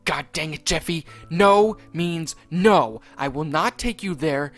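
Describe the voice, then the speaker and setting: A man voicing a hot-tempered father who yells and scolds. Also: fairly high pitch, slow pace, faint music behind, voice pushed up. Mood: furious. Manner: yelling.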